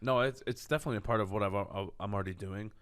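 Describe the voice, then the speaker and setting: Candid self-assured man, lowish voice. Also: racing, clean tone. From Canada. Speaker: comedian guest. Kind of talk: casual interview talk. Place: studio.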